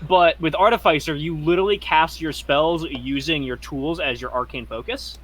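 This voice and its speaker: A man's voice: fairly high pitch, fairly bright tone. Laughing young man at the game table. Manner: joking, quick to laugh. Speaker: player. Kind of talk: casual tabletop game chat.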